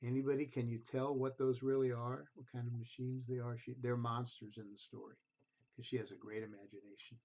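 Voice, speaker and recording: Male storybook reader for children: expressive, deliberate delivery that addresses the listener, on a slightly muffled low-fi recording. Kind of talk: children's read-aloud storytelling.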